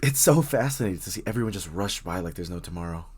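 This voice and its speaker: A man playing a very shy, friendly young writer in an intimate coffee shop roleplay, speaking hesitantly with nervous stammers and a husky voice. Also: low voice, animated, fast talker. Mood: warming up, friendly. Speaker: audio roleplay voice actor. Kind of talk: intimate one-sided roleplay acting, casual chat.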